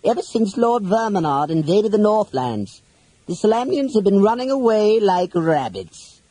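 Pompous goblin commander for a fantasy audiobook: high-pitched, swooping, sneering and bossy. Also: male voice, very animated, dark tone, breathy edge, dry recording, exaggerated voice, low-quality recording. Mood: sneering contempt. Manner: blustering, sneering, drawn-out commands. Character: pompous leering goblin officer, blustering and menacing.

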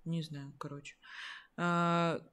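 A woman's voice: low-pitched, level tone, takes it slowly, a bit bright. A woman hosting a live stream, reading viewer questions and answering in a thoughtful, hesitant way. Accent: Russian, slightly soft. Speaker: stream host reading chat.

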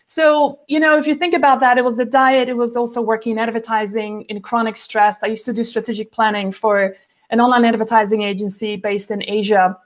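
Woman in her forties, fairly high voice, chatty, video call guest. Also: level tone, fairly quick. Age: 40s. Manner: chatty, many fillers and restarts. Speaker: guest, nutrition author. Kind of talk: casual interview talk. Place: home, by a window with plants behind.

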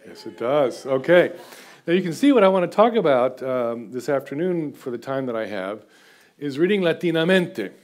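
A man's voice: medium pitch, sing-song, moderate pace. A man in his sixties giving an informal, chatty seminary lecture from a lectern, self-deprecating and full of filler sounds. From United States, half Guatemalan. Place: lecture room with audience. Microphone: far camera, standing at lectern.

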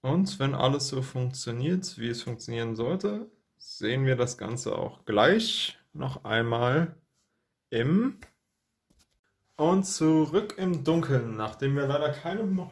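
A man's voice: mid-range voice, expressive, takes it slowly. Man reviewing a collectible casually. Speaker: unboxing review host. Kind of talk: casual product review commentary.

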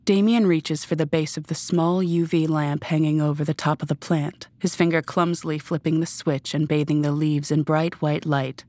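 A woman narrates a scripted story in a deep register for her sex. The sound is dry, with next to no room in it. She reads as the narrator of an audio drama. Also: steady tone, moderate pace, hardly any pauses, a little mellow, dry recording.

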